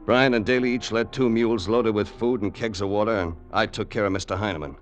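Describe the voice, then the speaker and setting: A man's voice: lowish voice, steady tone, fast talker, close to an everyday voice. An actor playing a tough, wisecracking crook who narrates his story colloquially in old radio drama style. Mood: weary, tense narrating. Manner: colloquial, hard-boiled. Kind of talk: 1940s-50s radio acting, first-person narration. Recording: vintage radio drama recording with music.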